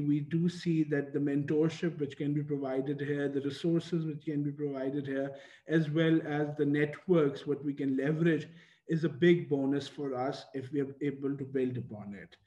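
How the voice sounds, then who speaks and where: Man, informal panel remarks, mellow timbre, dull roomy recording. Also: on the high side. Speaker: development lab speaker. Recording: muffled, echoey room sound.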